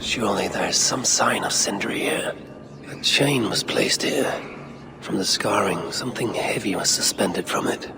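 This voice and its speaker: An actor playing a brooding, resolute Norse warrior god in a video game, speaking gravely and deliberately in a gravelly voice. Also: male voice, fairly low pitch. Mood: musing, investigating. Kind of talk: video game dramatic acting and musing. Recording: loud background ambience and noise.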